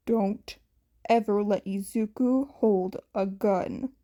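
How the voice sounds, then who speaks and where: A woman voicing a deadpan, exasperated male hero and protective father in a flat, curt manner, as in an audio story. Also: mid-range voice, slow, natural voice. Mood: stern, flat.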